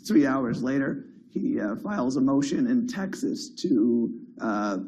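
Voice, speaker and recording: A hoarse man who has lost his voice, answering a debate question quickly and emphatically with many fillers. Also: mid-range voice, lively intonation, a little mellow. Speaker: debate candidate.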